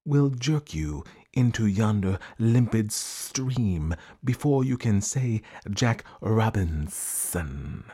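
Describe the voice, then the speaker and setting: Ponderous, pompous, drawling python. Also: male voice, fairly low pitch, lively intonation, mellow, breathy edge, heavily acted. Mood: pompous warning. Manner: pompous, drawn-out, grandiloquent. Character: pompous bi-colored python rock snake, ponderous adviser.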